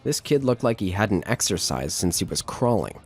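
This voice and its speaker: A man's voice: lowish voice, sing-song, normal speed, slightly rough, faint music behind. A man narrating a scripted horror story as the narrator of an audio drama.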